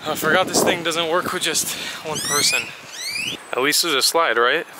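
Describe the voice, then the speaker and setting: A man's voice: on the high side, moderate pace, heavy background noise. A young man talking to a handheld camera as he travels, chatty and jokey with his viewers. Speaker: travel vlogger. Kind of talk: casual vlog narration. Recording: loud music behind the voice.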